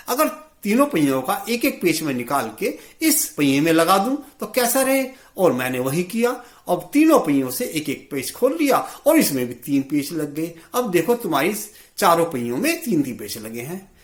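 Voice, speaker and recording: Guy doing a homely helpful village cart man in a storybook tale, bit high, very lively. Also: normal speed, hardly any pauses. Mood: modest, explaining. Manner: homely, polite, plain-spoken. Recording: roomy, echoey sound.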